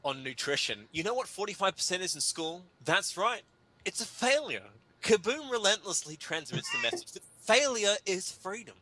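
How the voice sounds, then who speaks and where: A man's voice: high-pitched, very animated, normal speed, sharp tone, slight background noise, rough recording. A man giving a theatrical, pompous dramatic reading of comic prose in a mock-grave manner. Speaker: reader of a humorous article.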